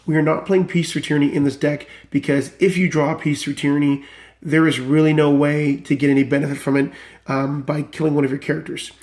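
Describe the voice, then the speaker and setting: Enthusiastic male deck reviewer, slightly high voice, still recovering from illness, chatty and rambling. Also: flat delivery, a little fast, a little mellow. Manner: enthusiastic, rambling with many fillers. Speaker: card game deck reviewer. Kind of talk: casual deck walkthrough.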